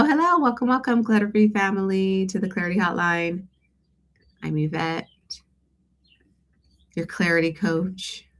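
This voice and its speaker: A woman hosting a casual live coaching Q&A, congested from allergies, talking conversationally with hesitant pauses and check-ins with her listeners. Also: lowish voice, a bit bright. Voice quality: stuffy, congested nose. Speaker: host and life and business coach.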